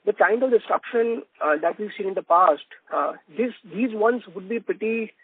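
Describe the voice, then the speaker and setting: A man with a high, rough-edged voice puts questions as an analyst on an earnings call. He is polite and wordy, reworking his phrasing as he goes. The audio is a narrow phone line. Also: normal speed. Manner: polite, discursive, rephrasing as he goes.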